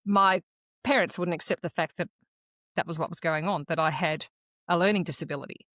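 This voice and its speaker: A woman, raised speaking Croatian first, talks through her experiences on a podcast in a reflective, earnest tone. Her voice sits a bit low, and she often stops and restarts her sentences. The recording is dry and close, with no room sound. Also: a bit bright, no room sound. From Australia. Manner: earnest, reflective personal storytelling with halting restarts. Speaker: podcast co-host. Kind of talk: casual conversational podcast chat.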